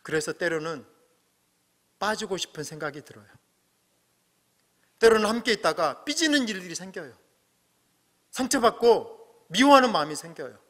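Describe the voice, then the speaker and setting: Bright high-voiced male pastor preaching. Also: expressive, moderate pace, pauses often. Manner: earnest preaching with rising emphatic peaks and soft low asides. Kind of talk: sermon. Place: church sanctuary. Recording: long hall reverb.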